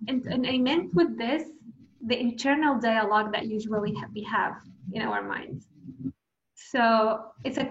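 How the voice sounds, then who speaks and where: A woman's voice: a little high, fairly bright tone, echoey room, dull audio. A woman from Syria, talking casually about lessons she has learned, with many fillers. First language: Arabic. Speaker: speaker. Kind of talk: casual talk sharing lessons.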